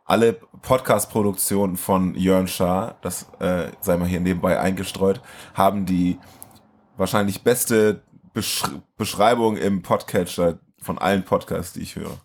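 Man, low voice, raspy edge, casual podcast co-host, film talk. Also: steady tone, unhurried, darkish tone.

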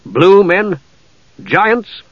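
A man's voice: high-pitched, sing-song, no room sound, voice pushed up, exaggerated voice. Man doing a grim commanding governor. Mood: doubtful. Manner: brisk, commanding. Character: grim half-elf governor, veteran, commanding. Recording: dull lo-fi dry recording.

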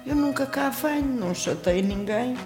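An older woman from Mozambique, a singer recalling her youth in a lively storytelling manner with frequent laughs. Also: moderate pace, echoey room, over loud music. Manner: lively storytelling, laughs often, shifts pitch when quoting. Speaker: guest singer. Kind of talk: reminiscing interview talk.

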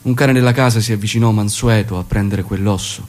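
An actor playing a commanding, shrewd guerrilla leader in a stage drama, speaking in a measured, authoritative way. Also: male voice, lowish voice, fairly even intonation, darkish tone, close to an everyday voice. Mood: grave, reflective. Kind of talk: theatrical dramatic acting and monologue.

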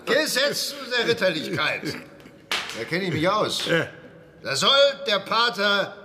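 Pompous magistrate, high voice, swooping, slow, blustering, radio play, noisy clatter. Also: male voice, very animated, pushed and bright, full character voice. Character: pompous, blustering male town magistrate at a banquet. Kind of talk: radio drama acting.

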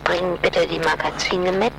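A woman's voice: lowish voice, steady tone, measured pace, gravelly, poor audio. A woman playing a stern, demanding caller leaving a voicemail in a radio play. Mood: cool, insistent. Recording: loud noise over voicemail message.

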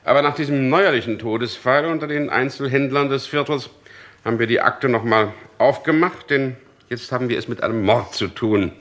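An actor playing a stern, insistent police chief inspector in a radio crime drama, speaking formally and pointedly. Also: male voice, medium pitch, brisk, a bit bright, close to an everyday voice. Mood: stern, explaining.

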